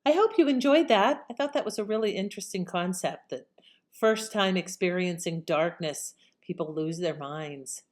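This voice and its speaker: A woman's voice: lowish voice, moderate pace, darkish tone. A middle-aged or older woman giving casual, reflective commentary as a presenter.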